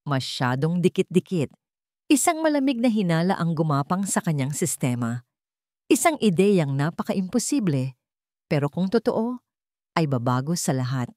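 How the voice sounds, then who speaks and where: Filipino lady reading a drama story, lowish voice, moves around a lot, really dry sound. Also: sing-song, normal speed, darkish tone, dry recording. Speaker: narrator.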